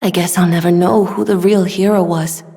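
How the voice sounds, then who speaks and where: A young woman voicing a gentle, earnest, polite weapons smith in a video game, soft-spoken and given to sighs. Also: on the low side, steady tone, moderate pace, creaky. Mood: sad, resigned. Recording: long hall reverb.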